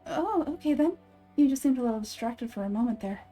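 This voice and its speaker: A woman's voice: sits high, lively intonation, fairly quick, dark tone, faint music behind. A woman voicing an earnest, lovelorn young working woman in a game, confiding and conversational. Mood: concerned, friendly.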